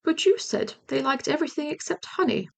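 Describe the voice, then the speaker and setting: A woman's voice: high voice, lively intonation, a little fast, vocal fry, voice pushed up. A woman voicing a friendly, simple-hearted male toy bear in a children's storybook, mild and earnest.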